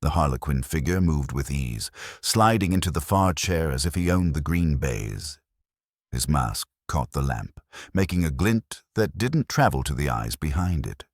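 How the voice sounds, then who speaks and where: A man narrates a detective story in a very deep voice with lively, swooping intonation. His delivery is measured and deliberate, with a husky grain to it. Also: no echo, studio quality. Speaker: audiobook narrator. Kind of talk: scripted first-person detective story narration.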